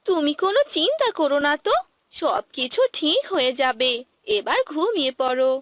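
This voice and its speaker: Woman voicing a fretful high-voiced village wife. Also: big pitch swings, moderate pace, no echo, studio quality. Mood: reassuring. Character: worried, caring young farmer's wife. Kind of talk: Bengali cartoon dialogue dubbing.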